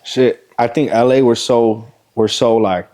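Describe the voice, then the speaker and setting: A man's voice: medium pitch, fairly even intonation, unhurried, a little mellow. A man, a rapper, talking casually in an interview, slangy and full of "like" and "you know what I mean.". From Southern California. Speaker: rapper guest.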